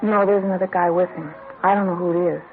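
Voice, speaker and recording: A woman's voice: on the low side, steady tone, quick pace, close to an everyday voice. An actress playing a guarded female informant in an old radio drama, blunt and clipped. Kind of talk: 1950s radio drama acting. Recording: tinny lo-fi old radio broadcast.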